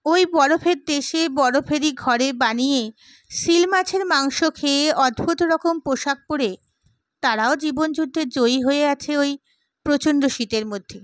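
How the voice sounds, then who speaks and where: A woman reading as an idealistic, opinionated gentleman in an audiobook, with an oratorical, emphatic manner. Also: high voice, measured pace, dry recording. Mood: fervent, proud. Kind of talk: dramatised audiobook character.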